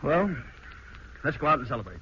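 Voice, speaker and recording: A man's voice: a little high, big pitch swings, a little fast, bright, forceful tone. An actor with an American accent playing a blunt, grumbling young husband and reluctant new father in an old-time radio drama. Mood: baffled. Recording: muffled, noisy old radio broadcast.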